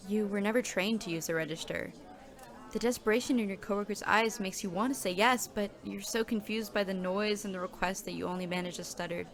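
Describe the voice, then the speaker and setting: A woman's voice: mid-range voice, a little fast, lightly acted. A woman performing scripted audio drama narration as a nervous, overworked new coffee shop worker. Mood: grim, weary. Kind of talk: scripted second-person narration.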